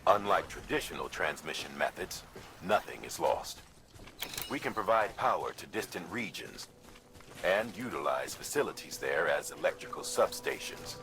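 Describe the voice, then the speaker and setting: A man acting a steady, earnest mission handler who gives measured briefings in a video game. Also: deep voice, slight background noise, heavily acted. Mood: approving, instructive. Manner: measured, explanatory.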